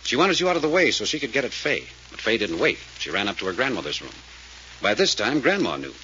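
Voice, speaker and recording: An actor playing a commanding detective who explains a case emphatically, in the style of a 1940s radio serial. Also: male voice, mid-range voice, very animated, fast, bright, forceful tone. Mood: intent, explaining. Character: brisk, commanding male detective explaining a murder. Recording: muffled, hissy old radio recording.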